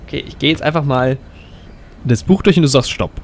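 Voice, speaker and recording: A man's voice: medium pitch, brisk, a little mellow. A man with a mid-range voice co-hosts a light improvised audio story. He speaks in casual banter and thinks aloud with some hesitation. A faint layer of noise sits behind his voice. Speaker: podcast co-host.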